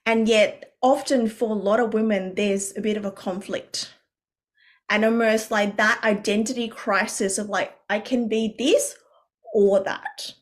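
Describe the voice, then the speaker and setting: Lady from vietnam being interviewed, earnest and thoughtful, lots of ums and restarts, mid pitch. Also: steady tone, unhurried. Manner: earnest, reflective, with many fillers and restarts. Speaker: guest coach. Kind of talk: podcast interview.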